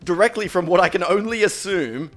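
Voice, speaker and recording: A man's voice: high-pitched, fairly quick, a bit bright, faint music behind. A man co-hosting a comedy podcast, bantering in a mock-earnest, teasing way. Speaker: podcast co-host. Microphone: studio microphone.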